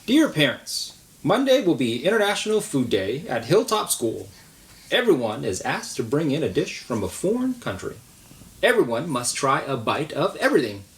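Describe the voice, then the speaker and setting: A man's voice: on the high side, huge pitch swings, moderate pace. A man voicing a kind, encouraging female schoolteacher from a storybook, in a caring manner for addressing children. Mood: cheerful announcing.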